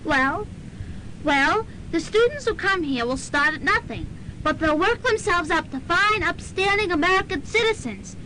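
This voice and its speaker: Woman as a bright, high-pitched eager little boy. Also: lively intonation, moderate pace, heavily acted. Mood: eager, innocent. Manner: gee-whiz wide-eyed exclamations. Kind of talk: old-fashioned radio drama acting. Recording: noisy amateur student radio recording.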